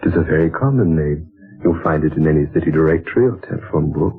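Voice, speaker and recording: An actor playing a patient, wise older stranger who speaks in slow, grave, measured pronouncements, in a 1940s radio drama. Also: male voice, rumbling low, expressive, faint music behind. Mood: solemn. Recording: narrow tinny old radio broadcast.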